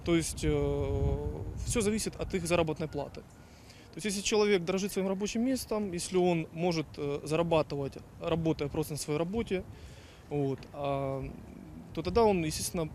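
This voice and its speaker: Guy explaining his view on the street, bit high voice, faint traffic behind. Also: moderate pace, darkish tone. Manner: reasoned, explanatory, with fillers. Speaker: passerby. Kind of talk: street vox pop.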